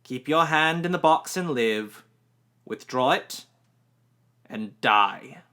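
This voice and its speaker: A man voicing an ancient, stern woman who tests a boy with slow, commanding menace. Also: mid-range voice, lively intonation, sharp tone, voice pushed up.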